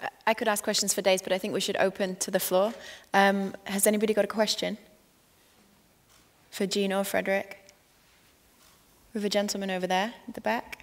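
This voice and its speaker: A woman moderating a conference panel and putting measured questions to the speakers. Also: medium pitch, little pitch movement.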